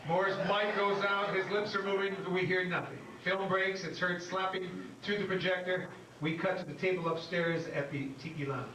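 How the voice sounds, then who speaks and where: A man's voice: sits high, fairly even intonation, normal speed, sharp tone. A man narrating scripted stage directions in a plain, matter-of-fact way. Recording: echoey room, distant, faint noise.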